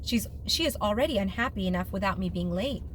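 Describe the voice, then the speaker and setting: An earnest, thoughtful man of a storybook, a painter, voiced by a woman reading aloud. The delivery is deliberate and emphatic, at a middling female pitch, with faint noise behind it. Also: animated, close to an everyday voice, poor audio. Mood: pondering.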